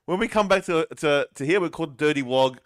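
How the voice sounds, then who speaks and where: A man's voice: on the high side, a little fast. An Australian man co-hosting a casual podcast, talking in an emphatic, insistent, slangy way. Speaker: podcast co-host. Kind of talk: casual, opinionated chat.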